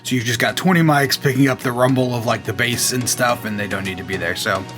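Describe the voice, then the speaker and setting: A man with a boomy voice explaining audio mixing in a relaxed, casual way, often pausing with fillers. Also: over music. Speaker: guest audio engineer.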